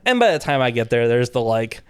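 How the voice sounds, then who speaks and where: A man's voice: medium pitch, fairly quick, smooth, no room sound, clean studio sound. A man guesting on a podcast, telling event stories in a casual chat and acting out the back-and-forth as he goes. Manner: lively anecdotes, acting out quoted exchanges with laughs.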